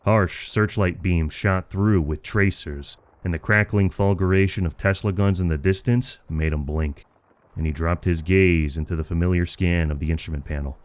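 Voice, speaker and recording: Low-voiced male war story narrator. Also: steady tone, normal speed, no echo.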